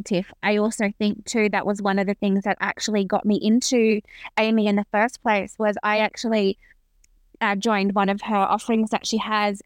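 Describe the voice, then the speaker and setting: A woman's voice: mid-range voice, no echo. A woman in her thirties, a podcast guest talking earnestly and reflectively about her own experience. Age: 30s. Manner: earnest, reflective, with frequent restarts. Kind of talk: casual interview conversation.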